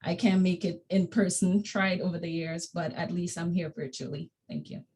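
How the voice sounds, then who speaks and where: A woman in her forties from Trinidad, a dentist introducing herself on a video call, friendly and brisk. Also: fairly low pitch, fairly even intonation, a little mellow. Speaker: dentist, alumna speaker. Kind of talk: virtual panel introduction.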